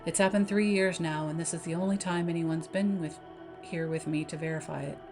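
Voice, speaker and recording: A woman's voice: sits low, fairly even intonation, a little fast. A woman voicing a brooding, talkative male lighthouse keeper, musing in a folksy way as part of a story reading. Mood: grave, foreboding. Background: background music.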